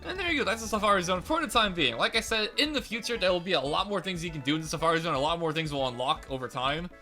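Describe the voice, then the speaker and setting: A young man commentating a video game playthrough in a chatty, rambling way, his voice slightly raspy and crackly today. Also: high-pitched, animated, quick pace, bright, forceful tone, soft background music. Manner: rambling, chatty, self-correcting. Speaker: let's play host.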